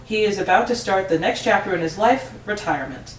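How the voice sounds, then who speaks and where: A woman's voice: mid-range voice, animated, fairly quick, natural voice. A woman playing a friendly, chatty factory boss in an amateur table read, talking in an upbeat, rambling way. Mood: upbeat, announcing. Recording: roomy, distant mic, faint noise.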